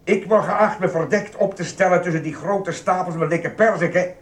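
An actor playing a grumpy, officious supermarket security guard in a radio play, brusque, suspicious and lecturing. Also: male voice, high voice, moderate pace. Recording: roomy echo, music behind.

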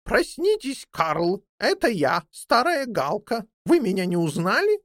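A woman voicing an old female jackdaw in a children's fairy tale, motherly, bossy and theatrical, with drawn-out emphatic delivery. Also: medium pitch, sing-song, dry recording, studio quality, pitched above the performer's own voice. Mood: urgent, solemn.

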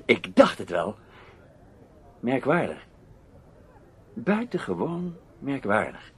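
A man's voice: medium pitch, big pitch swings, takes it slowly, fairly bright tone, slight background noise. A man playing a gallant, self-assured foreign correspondent in a Dutch radio play, with a jovial, theatrical way of talking. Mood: curious, probing.

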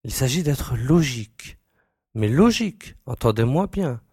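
A man's voice: mid-range voice, big pitch swings, measured pace, darkish tone, husky, no room sound, studio quality. A man playing a keen, self-assured young reporter turned sleuth, emphatic and declarative in an audiobook drama. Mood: murmuring, intense. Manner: emphatic, repeating words, declarative.

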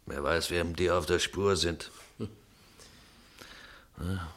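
A cynical policeman on station duty in a radio drama. His voice is deep, and he talks in a dry, sardonic mutter. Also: fairly even intonation, slow, a bit bright, exaggerated voice. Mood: wry, impatient. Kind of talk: German radio play acting.

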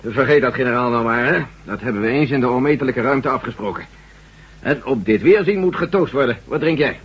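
An actor playing a gruff, jovial army general in a Dutch radio drama, talking in a bluff, hearty, colloquial way with a husky voice. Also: male voice, medium pitch, animated, fairly quick. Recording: dull, muffled old radio recording.

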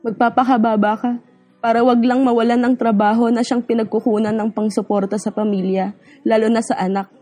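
Actress as a mellow, earnest young mother. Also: on the high side, lightly acted. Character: humble, weary young working mother telling her story. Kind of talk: scripted first-person drama narration. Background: music bed.

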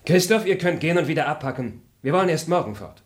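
A man acting a polite, formal gentleman traveller in a radio play. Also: a little high, expressive, fast, natural voice. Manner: courteous, formal.

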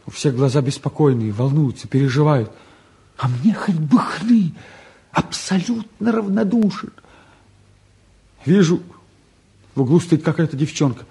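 An actor playing a restless, cheeky and candid teenage son in a 1950s Soviet radio play, talking in an impulsive, colloquial way. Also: male voice, on the high side, measured pace, vocal fry, pauses often, dark tone, husky, exaggerated voice. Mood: wry, confessional.

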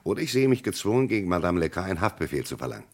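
A gruff old-school radio police commissioner, super low and gravelly, pushy questions, swingy intonation. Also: male voice, sing-song, very fast. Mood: stern, pressing. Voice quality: gravelly, husky. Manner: insistent, interrogating, commanding. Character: gruff, probing French police commissioner in a murder case. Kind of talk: German radio crime drama acting.

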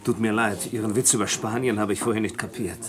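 A man's voice: fairly low pitch, fairly even intonation, quick pace, a crowd in the background, lightly acted. A man acting a grumbling, sardonic, skeptical traveller in a 1960s German radio drama. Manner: grumpy, sardonic. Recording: noisy ambience behind.

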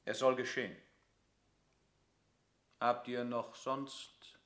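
Formal lord in an echoey room. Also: male voice, mid-range voice, little pitch movement, slow pace, a bit bright. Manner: formal, official declamation. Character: formal, official English lord, the queen's envoy. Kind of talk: classical German verse radio drama acting.

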